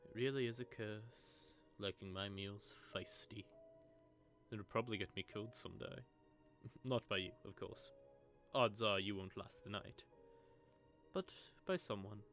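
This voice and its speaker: A sardonic male vampire acted by a man speaks in a low voice over prominent music. He taunts and teases his prey in a drawling, intimate monologue, menacing but secretly sentimental. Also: steady tone, unhurried. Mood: wry. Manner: teasing, taunting, drawling menace with soft asides. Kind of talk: scripted intimate audio roleplay monologue.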